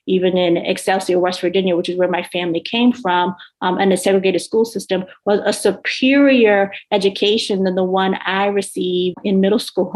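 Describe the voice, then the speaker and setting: A woman speaking as an academic panelist in an unscripted discussion, reflective and explanatory. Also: mid-range voice. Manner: reflective, explanatory, often checks with "right?".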